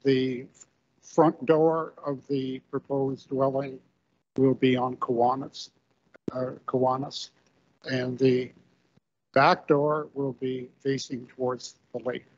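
A man resident speaking at a council hearing without a microphone, halting and plodding. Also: mid-range voice, level tone, no room sound. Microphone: no microphone, far from room mic. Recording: distant voice with no mic, dull.

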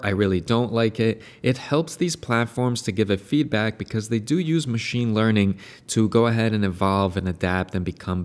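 A young adult man hosting a screen-recorded software tutorial, explaining features in a friendly, step-by-step way. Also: medium pitch. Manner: friendly, explanatory, recommends affiliate link. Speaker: tutorial host.

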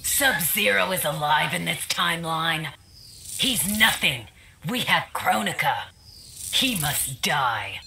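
A woman's voice: low voice, animated, slow, gravelly, noisy background, full character voice. A woman playing an arrogant young ice warrior in a video game, cold and defiant. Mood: cold defiance. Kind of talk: video game character dialogue.

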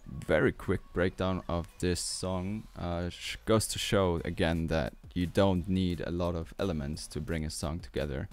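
Low, slow-talking Austrian man. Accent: Austrian German. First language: German. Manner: relaxed, hesitant with many fillers. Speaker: music producer. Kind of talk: tutorial walkthrough. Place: small home studio, indoors. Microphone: studio mic on boom arm with headphones.

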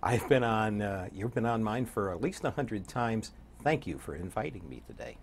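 An older man around seventy, a radio DJ chatting as an interview guest in a folksy, wry way with a husky voice. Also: lowish voice, animated, normal speed, a little hiss or hum. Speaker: guest, radio program director and DJ. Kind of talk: casual interview chat. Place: TV studio set, seated.

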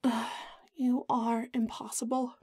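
A woman's voice: a little high, level tone, takes it slowly, darkish tone, husky, no room sound, studio quality. A woman playing a vain, haughty goddess in an audio roleplay drama, melodramatic and put-upon with a whiny edge. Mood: offended.

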